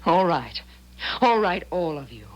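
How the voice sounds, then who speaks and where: Female devil's agent: imperious, insistent, very lively intonation, seventies radio-play style. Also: lowish voice, unhurried, muffled recording. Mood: indignant. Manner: haughty, insistent. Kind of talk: 1970s radio drama acting.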